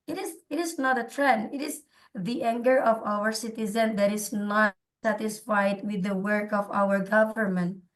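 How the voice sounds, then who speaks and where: A woman with an Indonesian accent giving her opinion in an online discussion, haltingly, searching for words and repeating phrases. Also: medium pitch, fairly even intonation, poor audio. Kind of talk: informal spoken opinion in an online group. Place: online call.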